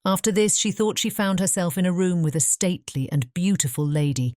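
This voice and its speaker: A woman's voice: lowish voice, lively intonation, a little fast, a little mellow, dry recording, clean studio sound. A woman narrating a fairy tale in a scripted bedtime audiobook style.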